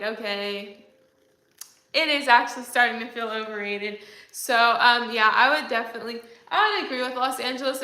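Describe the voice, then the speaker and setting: A woman's voice: fairly high pitch, pushed and bright, roomy sound. A young woman hosting a livestream, chatting casually and reading viewer comments aloud, with lots of fillers. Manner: chatty, rambling, many fillers. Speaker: livestream host.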